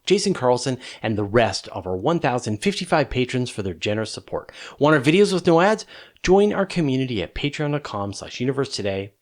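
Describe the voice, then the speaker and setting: A man hosting a question-and-answer show, explaining things in a casual, enthusiastic and emphatic conversational way. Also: medium pitch.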